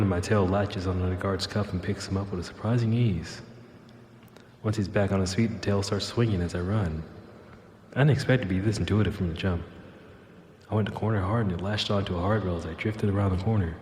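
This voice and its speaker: A man's voice: deep voice, darkish tone, close to an everyday voice. A man playing a cocky, wisecracking fugitive with a mechanical tail in an audio drama, slangy and flippant. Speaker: lead character and narrator. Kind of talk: first-person audio drama narration and dialogue. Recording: long reverb, clear background noise.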